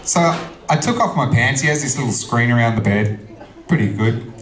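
An Australian man in his thirties doing stand-up, crude and deadpan, in a middle-pitched voice. He speaks into a handheld mic on a club stage, with a roomy echo behind him. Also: normal speed. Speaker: stand-up comedian. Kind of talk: stand-up comedy set. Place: comedy club stage, indoors.

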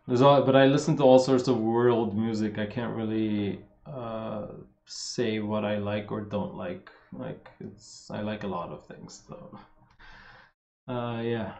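A man in his thirties from Los Angeles with a slight Armenian accent, a fitness coach answering viewer questions in a relaxed, rambling live chat. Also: fairly low pitch, unhurried, gravelly, roomy sound, highs cut off. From Los Angeles, USA. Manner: relaxed and rambling, with many fillers and swearing. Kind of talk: casual live-stream Q&A.

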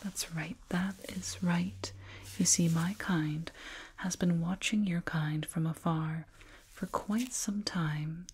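A woman whispering slowly and soothingly in an ASMR roleplay as a condescending alien dentist, close to a binaural microphone. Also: fairly low pitch, fairly even intonation, darkish tone. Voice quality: breathy whisper. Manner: slow, soothing, patronizing, deliberate.

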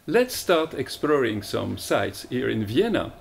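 Creaky-voiced man hosting. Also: mid-range voice, big pitch swings, a little slow, slight background noise. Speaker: program director. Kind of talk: presenting and moderating.

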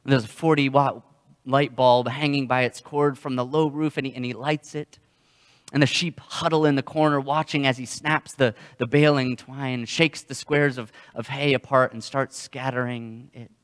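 A young man preaching a sermon as a pastor, telling stories in a halting way and often repeating words. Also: mid-range voice, fairly even intonation. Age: young adult. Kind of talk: sermon with storytelling. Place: church sanctuary, indoors. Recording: long hall reverb.

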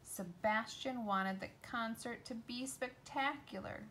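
A woman's voice: a little high, takes it slowly, fairly bright tone. A woman reading a children's storybook aloud as a storyteller. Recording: noticeable background noise.

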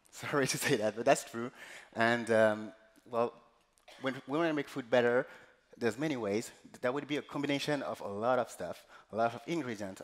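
Male, thirties, medium pitch, informal guest speaker at a conference, headset mic, plain short phrasing. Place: large conference hall stage.

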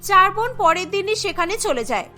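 A woman's voice: very high voice, expressive, normal speed, bright, forceful tone. A woman narrating a scripted cartoon story as its storyteller. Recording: background music bed.

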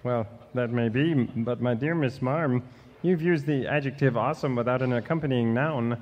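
An actor playing a fussy, literal-minded grammar stickler in a radio comedy sketch, speaking with precise, formal diction. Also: male voice, mid-range voice, normal speed, in a large hall, muffled recording, close to an everyday voice.

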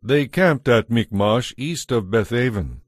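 A man's voice: mid-range voice, expressive, measured pace, dry recording, clean studio sound. A man narrating a dramatized scripture audiobook in a scripted, storytelling style.

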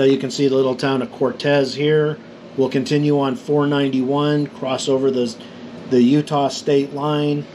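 A middle-aged man from New Mexico calmly explains road maps for motorcycle trip planning, rambling with fillers and restarts. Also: mid-range voice, background noise. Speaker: motorcycle vlogger.

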